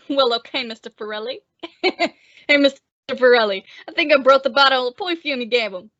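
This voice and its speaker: Woman as a sharp-voiced, jeering gangster henchman. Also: high-pitched, moderate pace, dry recording. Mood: gloating. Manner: jeering, slangy. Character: crude, gloating male henchman, eager to please. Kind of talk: old-time radio drama acting. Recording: dull, close lo-fi audio.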